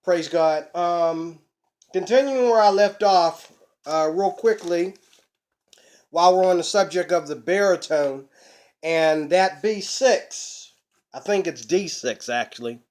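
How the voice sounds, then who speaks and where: Casting: middle-aged male vlog host, slightly hoarse, unhurried and folksy, fairly high voice, webcam in a small home room. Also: a little mellow. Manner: folksy, chatty, preacher-like emphasis. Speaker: ukulele channel host. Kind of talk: casual instructional vlog.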